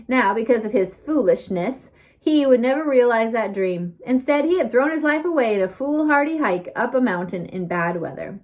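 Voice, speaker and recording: Higher-voiced woman reading a storybook aloud. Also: animated, moderate pace. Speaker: audiobook reader. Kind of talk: scripted storybook narration.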